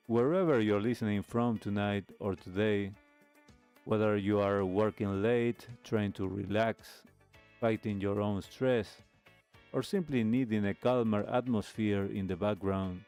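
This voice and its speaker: A man hosting a live stream radio show, speaking reflectively in a measured, halting way with pauses. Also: lowish voice, mellow, clear, resonant tone. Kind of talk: reflective host talk, signing off a live stream. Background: music playing under the voice.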